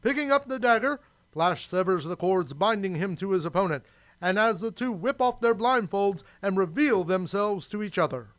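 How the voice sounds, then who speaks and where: A man's voice: sits high, animated, moderate pace, a bit bright. A man narrating an adventure radio serial in a dramatic, theatrical storytelling style.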